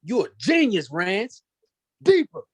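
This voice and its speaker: A man's voice: sits high, huge pitch swings, slow, slightly rough, no room sound, full character voice. A man playing a brash, defiant, greedy space miner in a screenplay table read. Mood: excited.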